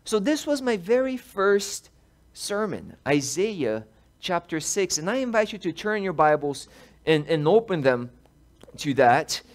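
Man in his thirties from Brazil, animated pastor preaching a story-led sermon in a church, headset mic, voice a touch high. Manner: animated, gestures while speaking. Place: large church sanctuary, indoors.

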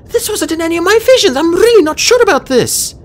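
A narrator voicing an anxious young female dragon in an audiobook, squeaky and urgent. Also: expressive, normal speed. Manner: breathless, urgent.

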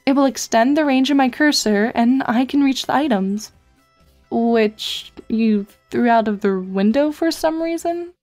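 A woman voicing a dry, precocious teenage girl in a web comic dub, speaking in a measured, formal, deadpan way. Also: high voice, soft background music. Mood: matter-of-fact.

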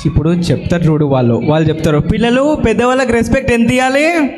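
A man's voice: very high voice, fast, crowd noise. A man hosting a puppet show for children like a Sunday school teacher, echoing others' words and mildly scolding. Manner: repeats others' words back, mild scolding. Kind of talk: playful dialogue with puppets for children. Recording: noisy live room sound.

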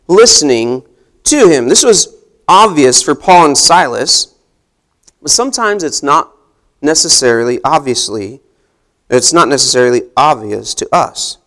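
A man's voice: on the high side, fairly bright tone. A man in his thirties preaching a casual sermon to a seated congregation in a church hall, talking conversationally and tossing questions to the room. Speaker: pastor. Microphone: handheld mic, far camera.

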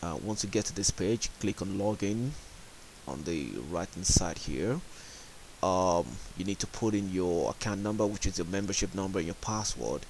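A man's voice: deep voice, normal speed, darkish tone. A man narrating a step-by-step screen tutorial in a plain, instructional and slightly hesitant way. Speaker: tech support tutorial presenter. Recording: hissy home voice-over recording. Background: clear background hiss.

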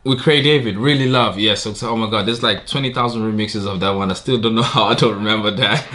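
A man's voice: on the low side, fast, pushed and bright, a little hiss or hum. A young man in his twenties giving casual reaction commentary from his bedroom, talking loosely with slang, bursting into laughs and exclamations. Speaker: music video reactor. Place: bedroom with wardrobe, indoors. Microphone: webcam with headphones on. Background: music video playing.

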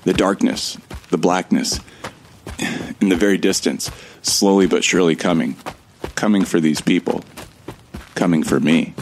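An actor playing a resigned, weary man who narrates his own doomed flight slowly and gravely in an audio drama. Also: sits low, steady tone, a little hiss or hum. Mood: grave, resolute.

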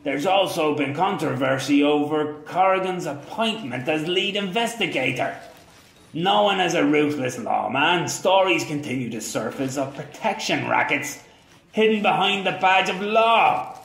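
A man playing a gruff, cynical nineteenth-century police captain in an audio drama, with a deliberate, sardonic delivery. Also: on the high side, lively intonation, fairly bright tone, reverberant, heavily acted. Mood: reading aloud. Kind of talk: audio drama, reading aloud and noir narration.

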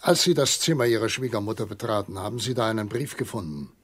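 An actor playing a weary old country doctor in a radio drama, grave and probing, speaking in solemn, halting questions. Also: male voice, fairly low pitch, a bit bright, breathy edge. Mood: firm, accusing. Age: older.

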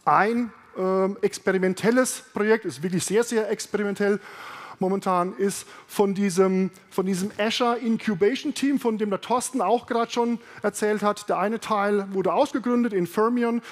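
A man's voice: high voice, moderate pace. A middle-aged man giving a technical keynote on a conference stage, talking in a loose conversational way with many fillers and restarts. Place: large conference hall on stage. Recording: reverberant large hall.

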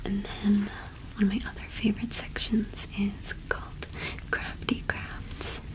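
A young woman whispering an ASMR roleplay, explaining gently and softly with unhurried pauses. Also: mid-range voice, monotone, poor audio. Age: young adult. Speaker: ASMR host in a cottage witch roleplay.

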